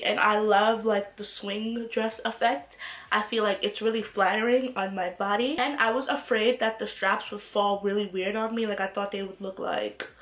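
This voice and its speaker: A woman's voice: medium pitch, fairly bright tone, echoey room. A young woman with a cold, chatting to camera in a clothing haul video and gushing about each piece. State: congested from a cold. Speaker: fashion haul vlogger. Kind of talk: casual haul chat to camera. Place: small room, glittery backdrop.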